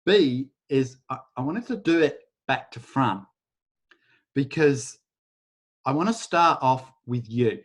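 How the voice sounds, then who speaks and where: A man leading a live online workshop over a video call, talking in a casual, rambling way and softly chanting a repeated phrase. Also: medium pitch, animated, takes it slowly. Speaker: workshop facilitator.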